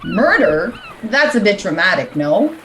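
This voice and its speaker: A woman's voice: mid-range voice, very animated, unhurried. A woman playing a flippant, unworried boat passenger on a girls' night out in a radio play. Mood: startled. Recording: noisy recording with clicks.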